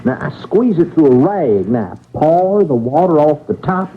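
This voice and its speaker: An actor playing a crotchety, bossy old safe-blower in a radio drama, with a folksy, scolding, halting way of talking. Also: male voice, fairly high pitch, very animated, slight background noise. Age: older. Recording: muffled, phone-like radio drama recording.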